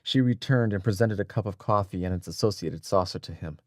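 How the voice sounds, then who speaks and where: A man's voice: lowish voice, brisk, no room sound, clean studio sound. A man narrating a dramatic audiobook from a script as a storyteller.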